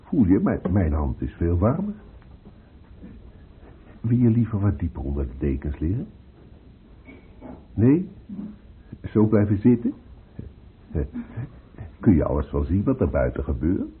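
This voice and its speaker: A muffled, noisy old radio drama recording carries a deep male voice. a protective middle-aged father who coaxes tenderly and haltingly, repeating himself with wide swings of pitch. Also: wildly swooping pitch, exaggerated voice. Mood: tender, coaxing. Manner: halting, coaxing, repeats phrases.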